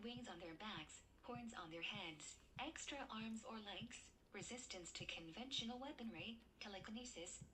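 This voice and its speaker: A woman playing a news anchor who reads breaking disaster news in a formal, measured way. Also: mid-range voice, pushed and bright, slight background noise, lightly acted, low-quality recording. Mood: urgent, formal. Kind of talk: scripted breaking-news narration.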